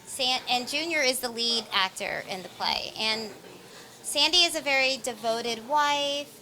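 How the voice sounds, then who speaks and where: A woman actress explaining things in an interview in a measured, earnest way. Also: a little high, sharp tone, poor audio. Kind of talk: explaining her role in an interview.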